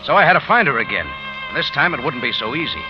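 A man's voice: fairly high pitch, big pitch swings, quick pace. An actor playing a tough, wry detective who tells his own story in clipped slang, in 1940s radio drama style. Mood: determined. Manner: hard-boiled, clipped, slangy. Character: tough, wry American detective hero telling his adventure. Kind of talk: 1940s radio drama acting and first-person narration. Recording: muffled old radio recording, music behind.

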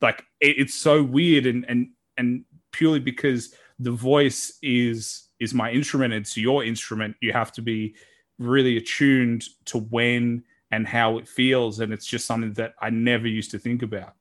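A man from Australia with a deep voice, hosting a podcast and talking in a reflective, earnest way. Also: little pitch movement, moderate pace. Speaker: podcast host. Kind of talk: casual interview talk.

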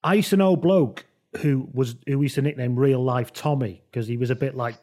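A man with a medium-pitched voice tells comic stories as a co-host. His delivery is casual, wry and colloquial, with some swearing. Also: fairly quick, mellow. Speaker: podcast co-host.

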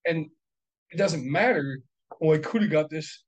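A man in his thirties, a real estate investor, talking casually and bluntly, swearing and working through numbers out loud. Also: on the high side, moderate pace, slightly rough, low-quality recording. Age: 30s. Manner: profane, blunt, works through numbers aloud. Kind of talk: casual podcast chat.

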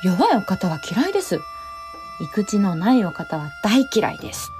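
A woman's voice: mid-range voice, sing-song, moderate pace, over music, natural voice. A woman playing a spirited, forthright young samurai bride in a Japanese period audio drama, candid yet politely archaic in speech. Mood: admiring, forthright.

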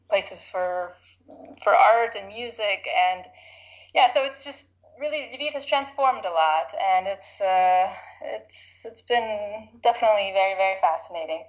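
A woman in her thirties from Chicago, talking reflectively in a casual interview, often pausing with fillers and restarting. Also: mid-range voice. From Chicago, United States. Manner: reflective, thinks aloud with frequent fillers and restarts. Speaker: interview guest. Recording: slightly dull lo-fi audio.

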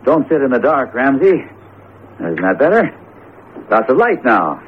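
An actor in a radio drama playing an earnest, determined teacher with deliberate, theatrical phrasing. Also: male voice, mid-range voice, very animated. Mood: resolute. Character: jumpy, determined male teacher confronting a cursed statue. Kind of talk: vintage radio drama acting. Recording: tinny, narrowband old radio broadcast, noisy.

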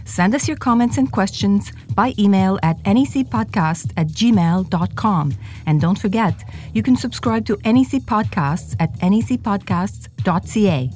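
A woman's voice: mid-range voice, normal speed, few pauses, soft background music. Woman reading a scripted outro. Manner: even, read from a script. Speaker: podcast host.

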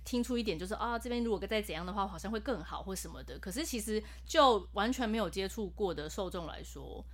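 A woman's voice: medium pitch, expressive, quick pace. A woman, a professional voice actor, chatting earnestly on a podcast. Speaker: voice actor guest. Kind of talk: casual podcast chat.

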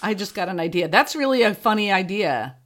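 A woman's voice: mid-range voice, expressive, fairly quick, background noise. Woman in casual, plain-spoken conversation. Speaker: podcast guest, fiction podcast creator.

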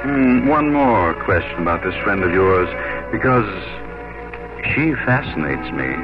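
Over music on a muffled old radio recording, an ardent gentleman officer. His fairly low voice moves expressively through formal, romantic lines with real intensity. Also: very animated, a little slow. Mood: tender probing. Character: ardent, gallant English naval captain in love. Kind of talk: 1950s radio romantic drama acting.

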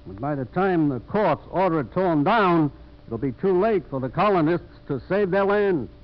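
An actor playing a sober, frank frontier-town judge in a 1950s radio drama. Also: male voice, a little high, expressive, moderate pace, close to an everyday voice. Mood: frank, grave. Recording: muffled old radio broadcast.

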